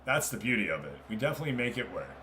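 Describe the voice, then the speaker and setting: A man's voice: medium pitch, very animated, very fast, pushed and bright. Man calling football play-by-play over background noise. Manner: informal, chatty, calling passes name by name. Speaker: streamer commentating a football management game. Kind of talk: live play-by-play mixed with casual chat to viewers.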